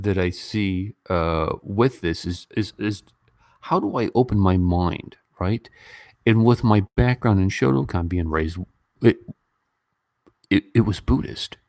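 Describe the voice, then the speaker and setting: Male livestream host, low-pitched and informal, rambling conversational delivery, very dry close sound. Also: unhurried, dry recording. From Western country. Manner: informal, animated when mimicking others, rambling. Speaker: YouTube host. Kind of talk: casual livestream chat and commentary.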